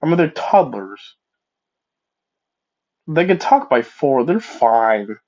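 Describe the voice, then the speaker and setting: A man's voice: mid-range voice, big pitch swings, slow pace, a little mellow. A man with a cold and a stuffed-up nose, telling a fan story in a rambling, casual way and often correcting himself. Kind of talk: improvised fan-fiction storytelling. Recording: dull lo-fi mic.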